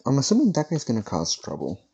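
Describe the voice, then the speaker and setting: A man giving casual playthrough commentary on a video game, hesitant and thinking out loud. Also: medium pitch, wildly swooping pitch, fast, slightly rough. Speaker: gaming video host.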